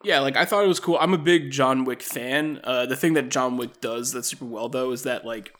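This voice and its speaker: A man's voice: mid-range voice, a little fast. A man hosting a podcast, chatting casually about a movie with lots of fillers and laughs. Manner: relaxed, laughing, many fillers. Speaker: podcast host. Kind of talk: casual movie review chat.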